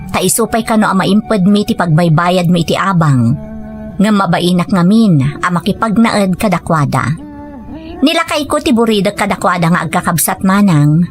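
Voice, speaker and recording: A woman's voice: lowish voice, close to an everyday voice. Woman acting a patient young Ilocano wife, music behind. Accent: Filipino, Ilocano. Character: patient, caring young wife and mother of modest means. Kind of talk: radio drama dialogue and first-person narration.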